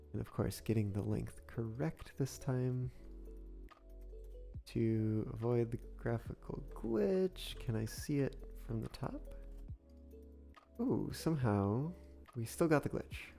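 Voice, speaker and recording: A man streams a train-building game, thinking out loud in an unhurried, soothing voice while he recovers from a recent illness. His tone is mellow, with a gravelly edge, and faint music plays beneath him. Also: mid-range voice. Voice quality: soft, soothing. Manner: slow, musing, thinks aloud through sums, greets chat viewers. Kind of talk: casual live-stream commentary while building a model railway in a game. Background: soft background music.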